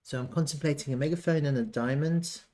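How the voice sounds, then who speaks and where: A man giving casual, off-the-cuff chart commentary as a trading analyst, thinking aloud haltingly and repeating himself. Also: fairly high pitch, a little mellow.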